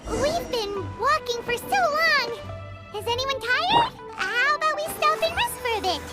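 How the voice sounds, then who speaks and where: A tiny, chirpy game sidekick speaks in an extremely high, childlike voice. The delivery is bubbly and eager, swooping wildly up and down, with music playing underneath. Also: female voice, sing-song, measured pace, heavily acted. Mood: friendly, chatty. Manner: refers to self in third person, bubbly, eager. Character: small chirpy childlike fairy companion, cheerful and talkative. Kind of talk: video game voice acting.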